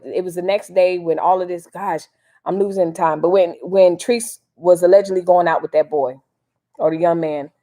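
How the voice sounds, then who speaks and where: An American woman with a fairly low voice hosts a casual livestream. She rambles in loose, folksy slang, drifting between commentary and replies to her viewers. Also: mellow. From Miami, United States. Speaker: livestream host. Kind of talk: casual live chat with commentary.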